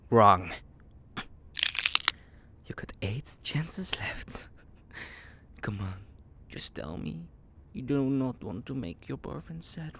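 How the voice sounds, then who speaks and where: A man's voice: medium pitch, creaky, a bit bright, slight background noise, full character voice. A man playing a psychotic, possessive young kidnapper in an intimate audio roleplay, cooing menacingly in half whispers with creepy giggles. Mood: coaxing, threatening.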